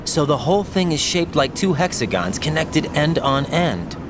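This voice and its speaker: An actor playing a young rookie soldier in a video game, intense, earnest and sceptical, with a terse, questioning way of talking. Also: male voice, on the high side, big pitch swings, moderate pace, noisy background. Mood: alert, questioning.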